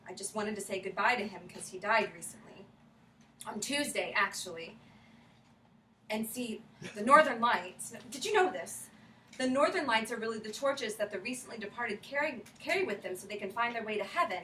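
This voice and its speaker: Bright, echoey actress as a nervous young widow. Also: female voice, fairly high pitch, expressive. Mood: earnest, wistful. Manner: halting, repeats words, earnest. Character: nervous, wistful grieving young widow named Glory. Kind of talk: staged reading of a play. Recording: roomy stage reading, distant mic, some noise.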